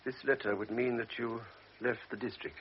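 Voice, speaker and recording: An actor playing a calm, authoritative older doctor in a radio drama, speaking in a measured, persuasive way. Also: male voice, medium pitch, slight background noise, lightly acted. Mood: grave. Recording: muffled old radio recording.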